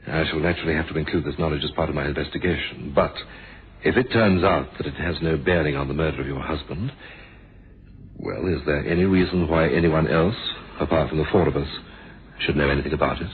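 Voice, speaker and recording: A man acting a courteous, probing police inspector in a radio mystery drama, formal and measured, firm but humane. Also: a bass voice. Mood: gentle, regretful. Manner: formal, measured, polite questioning. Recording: muffled, noisy old radio drama recording.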